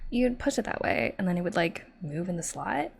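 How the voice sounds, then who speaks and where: A woman's voice: on the low side, very animated, fairly quick, creaky, slight background noise. A woman voicing a curious, incredulous male traveller in a storybook reading, with a questioning, filler-heavy Valley Girl accent. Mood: puzzled.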